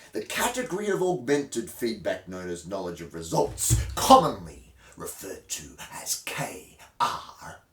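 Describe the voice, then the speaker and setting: A man giving a mock-dramatic reading of a textbook, with hammy emphasis that swells suddenly and drops to a hush. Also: on the low side. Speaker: host of a dramatic reading series. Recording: roomy, distant microphone.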